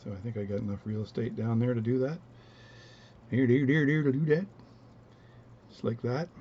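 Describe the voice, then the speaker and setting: A man with a low voice talking through his watch repair at a home workbench, relaxed and rambling as he narrates each step. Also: moderate pace, muffled recording, slight background noise. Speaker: watchmaking hobbyist demonstrating. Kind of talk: casual bench demonstration, talking while working.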